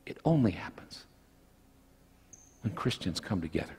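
A man's voice: sits low, moderate pace, a little hiss or hum. An older man preaching a sermon as pastor in a church sanctuary, telling stories with folksy asides. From United States. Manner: lively storytelling, folksy asides. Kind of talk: sermon with object lesson. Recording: long church reverb, slightly husky sound.

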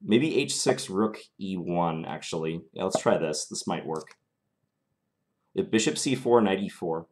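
A man's voice: low-pitched, animated, measured pace, slight background noise. A young man with a mild Minnesota accent, streaming live and thinking aloud through his chess moves in a small room. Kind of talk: live chess commentary while playing. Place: small indoor room at home.

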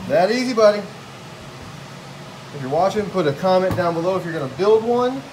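A man's voice: high-pitched, expressive, brisk, darkish tone, slight background noise, rough recording. A tired man in his thirties joking his way through a woodworking how-to in his home garage. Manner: joking, self-mocking, talks while working. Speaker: vlogger building a squirrel table. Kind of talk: casual how-to woodworking vlog. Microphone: handheld phone camera.

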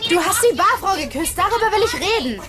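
Jealous young woman in a crime reenactment, very high voice, emotional and pressing, music underneath. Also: very animated, fast. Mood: confrontational. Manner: insistent, emotional. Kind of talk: dubbed true-crime reenactment. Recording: music behind the voice.

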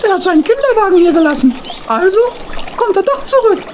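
A woman's voice: extremely high pitch, very animated, fast, highs cut off, background noise. A woman voicing a small, naive, earnest male hedgehog in a children's audio drama. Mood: pensive.

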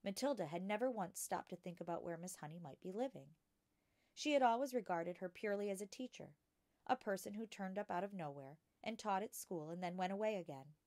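A woman reading a children's book aloud as a storytime narrator, expressive and clearly enunciated. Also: mid-range voice, a little fast, no room sound. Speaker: storytime reader.

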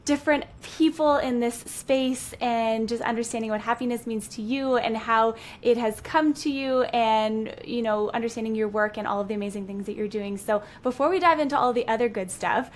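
A woman's voice: on the high side, a little hiss or hum. A young woman hosting a podcast over a video call, enthusiastic and affirming, chatting casually with lots of "you know". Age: young adult. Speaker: podcast host. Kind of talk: casual interview conversation. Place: indoor room. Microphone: headset on video call.

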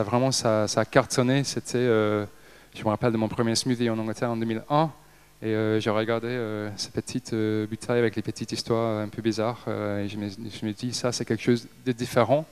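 A man in his thirties from Liverpool with an English accent, a native English speaker giving a talk on stage, speaking haltingly with many hesitations. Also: fairly low pitch, level tone, smooth. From Liverpool, England. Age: 30s. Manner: halting, frequent hesitations and restarts. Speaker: company director giving a talk. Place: stage in an event hall with a seated audience. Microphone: handheld microphone.

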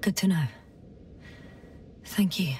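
An actress playing a weary, stubborn scientist in a video game, speaking in a breathy, halting, wry way. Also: female voice, on the low side, a little mellow, recorded from a distance, slight background noise. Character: weary, stubborn female space-mission scientist exploring a planet. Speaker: game protagonist, doctor on a space crew. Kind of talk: naturalistic video game voice acting.

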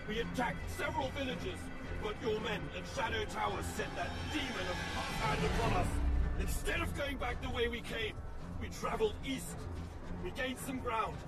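An actor playing a savage captured raider in a video game, defiant, strained and in pain. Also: male voice, very high voice, far from the mic. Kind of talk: video game cutscene acting.

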